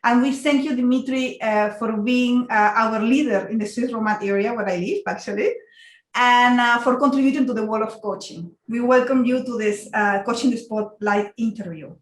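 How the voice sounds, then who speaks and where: Higher-voiced woman interviewer, echoey room. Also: normal speed. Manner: polite, lots of filler uhs. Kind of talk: hosting an online interview.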